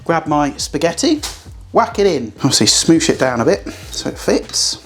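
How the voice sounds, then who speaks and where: A man's voice: fairly high pitch, sing-song, moderate pace. Man, home cook host, chatty, blunt, casual swearing, faint music behind. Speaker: cooking channel host. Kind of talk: casual cooking tutorial.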